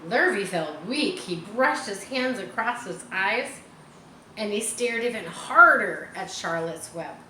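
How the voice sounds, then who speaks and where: A woman reading a children's story aloud in an expressive narrating style. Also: medium pitch, takes it slowly. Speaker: audiobook reader. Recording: echoey room, faint background noise.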